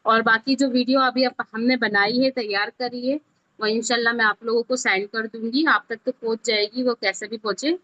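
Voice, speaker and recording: A woman's voice: fairly high pitch, steady tone, normal speed, pushed and bright. A woman instructor giving an informal live online tutorial, explaining step by step and often checking that learners follow. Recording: dull lo-fi online call audio.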